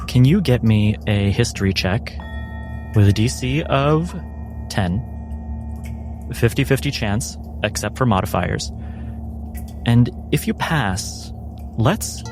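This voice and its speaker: A man narrating a tabletop game as game master, in measured, deliberate phrases with dramatic pauses. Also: on the low side.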